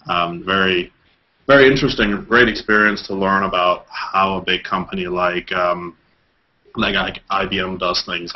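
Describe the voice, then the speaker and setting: Deep-voiced Danish-born man, halting speech. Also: highs cut off. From Denmark. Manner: hesitant, many fillers and restarts. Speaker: interview guest. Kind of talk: video call interview. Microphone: video call.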